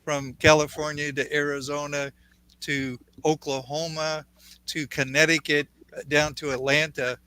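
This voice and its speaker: Bright, emphatic male panelist. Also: a little high, level tone, unhurried, clear, resonant tone, no echo, low-quality recording. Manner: emphatic, repeats words as he explains. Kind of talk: explanatory panel talk.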